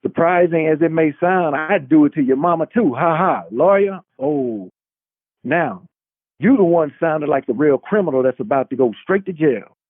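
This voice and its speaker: A man's voice: a little high, lively intonation, normal speed, no room sound, narrow, tinny audio, voice pushed up. Man playing a cocky, taunting male security guard. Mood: mocking. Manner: sarcastic, slangy, taunting. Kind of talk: dramatic novel reading.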